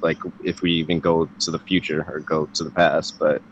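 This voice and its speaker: Deep-voiced hesitant man in his twenties. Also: flat delivery, normal speed, a little hiss or hum, rough recording. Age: 20s. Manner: hesitant, filler-heavy with many "like"s. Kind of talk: casual debate chat.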